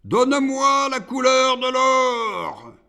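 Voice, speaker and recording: A man plays a proud, monstrous demon of a storybook poem, pitched well up from a plain reading voice. The delivery is slow and declamatory, swinging widely as it makes defiant, scornful demands. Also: big pitch swings, full character voice. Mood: fierce, commanding. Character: Iblis, proud rebellious male demon, monstrous forger.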